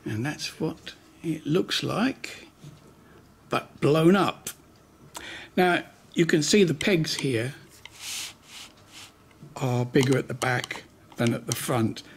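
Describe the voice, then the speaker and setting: A man giving an unscripted hands-on demonstration, talking in an unhurried way and pausing mid-sentence as he works. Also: mid-range voice, slightly rough. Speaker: host of a hi-fi repair channel.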